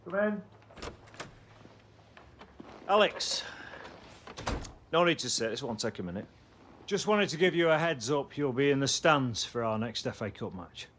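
An actor playing a stern, blunt middle-aged football manager in a video game cutscene. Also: male voice, mid-range voice, lively intonation, measured pace, long pauses, fairly bright tone, slight background noise. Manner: blunt, no-nonsense.

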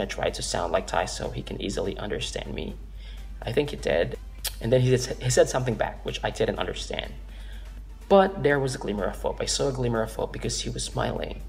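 Filipino man telling a story, gravelly. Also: on the low side. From Philippines. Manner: earnest, rambling with restarts and fillers. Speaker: vlogger. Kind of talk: casual storytelling to camera. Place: office room indoors.

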